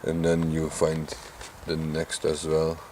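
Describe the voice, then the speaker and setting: A man from Limburg with a Dutch accent and a deep voice, chatting casually to his camera outdoors while out on a bicycle trip, sometimes mumbling a little. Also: monotone, slow pace, warm tone, a little hiss or hum. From Limburg, Netherlands. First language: Dutch Limburg dialect. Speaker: vlogger. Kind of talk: casual outdoor vlog narration. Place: outdoors in flat open countryside.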